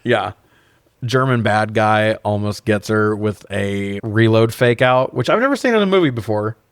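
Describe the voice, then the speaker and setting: A man's voice: on the low side, expressive, moderate pace, clear, resonant tone, no echo, clean studio sound. A man co-hosting a podcast, casually recapping and riffing with incredulous, sweary humor. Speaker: podcast co-host. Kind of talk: casual comedic film recap and chat.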